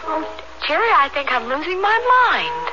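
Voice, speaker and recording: A woman playing a frightened, bewildered young American woman in a 1970s radio drama, speaking in a halting, pleading way. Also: high voice, wildly swooping pitch, lo-fi sound. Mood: confused, distressed. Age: young adult. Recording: band-limited 1970s radio recording, music behind.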